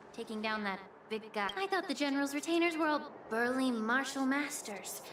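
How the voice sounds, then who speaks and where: A woman's voice: high-pitched, noisy background. A woman voicing a poised young healer in a video game, polite and teasing with a composed, articulate delivery. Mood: playful, friendly. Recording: distant game audio with loud music.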